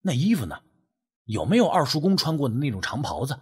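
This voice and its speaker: A man's voice: medium pitch, sing-song, a little fast, a little mellow, slightly rough. A man playing an inquisitive, irritable, skeptical friend in an audio story, asking blunt, probing questions.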